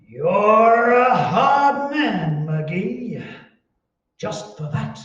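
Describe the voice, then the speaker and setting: A man's voice: high voice, very animated, extremely slow, fairly bright tone, exaggerated voice. An actor playing a pompous, contrary, easily indignant neighbor man in old-time radio comedy style. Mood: indignant, stubborn. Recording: echoey room, distant mic, dull sound.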